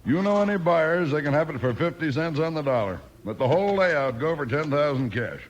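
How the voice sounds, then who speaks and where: An actor playing a defeated but stubborn cattle rancher in a radio western, blunt and insistent, with an American Western accent. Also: male voice, on the high side, animated, a little fast, highs cut off, lightly acted. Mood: resigned, stubborn. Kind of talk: 1950s radio western acting. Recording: old radio recording, faint noise.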